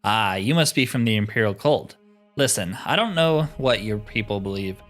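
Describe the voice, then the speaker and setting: A man's voice: fairly low pitch, animated, normal speed, a bit bright, faint music behind. A man voicing a self-assured, didactic and dismissive scholar in a fantasy game.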